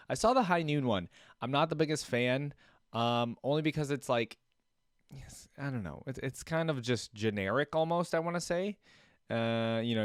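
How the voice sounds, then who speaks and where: A man giving casual gaming reaction commentary as a host, rambling and thinking out loud as he goes. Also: lowish voice, clean tone, no room sound, studio quality.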